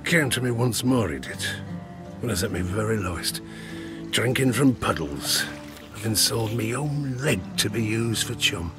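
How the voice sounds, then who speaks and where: A man's voice: on the low side, lively intonation, natural voice. A man playing a ruined sailor and gambler, telling his rueful tale for an audio drama. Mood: haunted, rueful. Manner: slow, rueful storytelling with colloquial dialect. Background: music.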